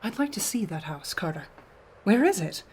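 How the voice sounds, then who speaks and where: A woman's voice: medium pitch, sing-song, normal speed, darkish tone, a little hiss or hum. An actress playing a rational, skeptical intellectual woman, wry and articulate, in a radio horror drama. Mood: skeptical, curious.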